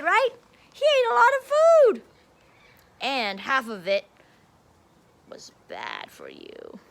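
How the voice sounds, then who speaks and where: A woman's voice: mid-range voice, vocal fry, a bit bright, slight background noise. A woman teacher reading aloud and leading counting for young children in a sing-song, encouraging, teacherly way. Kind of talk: animated children's read-aloud and counting lesson.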